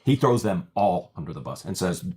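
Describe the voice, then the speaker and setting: A very deep-voiced man in his forties talks on a livestream, retelling a court hearing. He speaks emphatically and sounds incredulous. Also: very animated, a little fast, a little mellow. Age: 40s. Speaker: streamer recounting a court hearing. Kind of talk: casual livestream talk.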